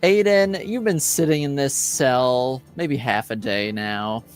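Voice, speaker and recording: A game master narrating a tabletop fantasy game in a halting way, with pauses between phrases. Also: mid-range voice. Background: soft background music.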